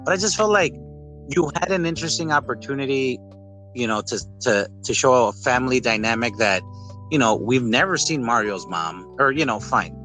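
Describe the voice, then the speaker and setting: Bright-voiced man chatting over guitar music. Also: medium pitch, moderate pace, low-quality recording. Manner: rambling, animated retellings with acted-out quotes. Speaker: podcast host. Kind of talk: casual chat reviewing a film.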